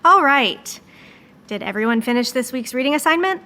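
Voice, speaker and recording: An actress playing an earnest American volunteer reading teacher in an audio drama, instructive and sincere. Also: female voice, high-pitched, lively intonation, normal speed, bright, forceful tone, a little hiss or hum. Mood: patient, insistent.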